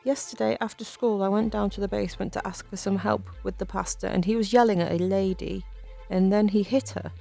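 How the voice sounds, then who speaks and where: A woman's voice: mid-range voice, fairly even intonation, brisk, creaky, dark tone, faint music behind, voice pushed up. A woman voicing an earnest, frightened seven-year-old boy in a horror story, hesitant and pleading. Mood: hesitant, confessing. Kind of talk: acted dialogue in a narrated horror story.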